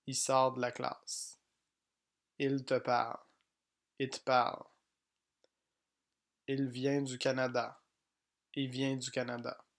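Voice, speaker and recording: A man teaching pronunciation, reading each example sentence slowly and plainly, often twice. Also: medium pitch, completely flat delivery, vocal fry. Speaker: French language teacher. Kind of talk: slow pronunciation drill.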